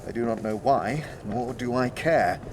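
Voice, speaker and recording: An actor playing an honorable undead skeleton knight in a fantasy audio drama, speaking with formal, archaic, stately diction. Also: male voice, mid-range voice, measured pace, background noise, natural voice. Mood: solemn, courteous.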